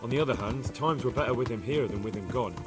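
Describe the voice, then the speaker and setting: An actor playing an earnest, plain-spoken young squire of humble birth in medieval video game dialogue. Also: male voice, mid-range voice, fast talker, over loud music, close to an everyday voice. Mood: earnest, musing. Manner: earnest, questioning, indignant at wrongdoing.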